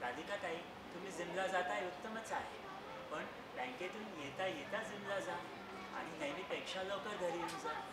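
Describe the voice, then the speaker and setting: A man acting a strict but kindly old grandfather on stage, instructing the family in a measured, admonishing way. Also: sits high, lightly acted. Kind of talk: stage play acting, reading out a letter. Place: theatre stage in an auditorium. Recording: echoey auditorium, distant mic, loud noise.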